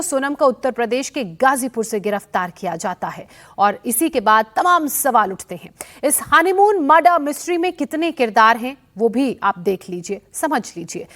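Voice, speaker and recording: A woman's voice: high-pitched, bright, forceful tone. A woman news presenter narrating a scripted report to viewers in an emphatic, explanatory way.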